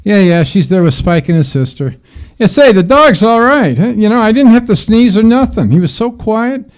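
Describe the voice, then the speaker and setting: A man's voice: sits high, sing-song, moderate pace. A man acting a good-natured, simple streetwise sidekick in a live radio drama, chatty, slangy and repeating himself. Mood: chatty, amused. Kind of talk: old-time radio drama acting before a live audience. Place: live stage performance in a hall.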